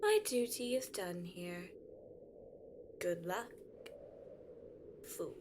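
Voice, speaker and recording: A woman's voice: fairly low pitch, extremely slow, noisy background. A woman playing a haughty, bitter, selfish figure in a fantasy audio drama, scornful and imperious.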